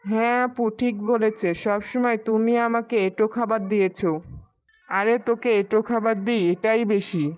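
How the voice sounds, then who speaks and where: A woman voicing a greedy, quarrelsome man-eating witch in an animated fairy tale. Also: on the high side, moderate pace, clean tone, soft background music, close to an everyday voice. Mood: angry, quarrelsome. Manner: menacing, quarrelsome.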